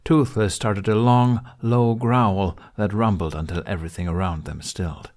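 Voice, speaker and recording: A man's voice: on the low side, fairly even intonation, normal speed, a little mellow. A man reading scripted story narration as an audiobook narrator.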